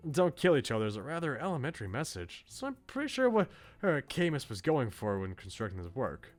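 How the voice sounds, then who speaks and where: A bookish young male student from a visual novel, read aloud by a man. He muses philosophically in articulate, politely opinionated phrases, his pitch moving freely. Light music sits underneath. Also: huge pitch swings, fairly quick. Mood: musing, conceding.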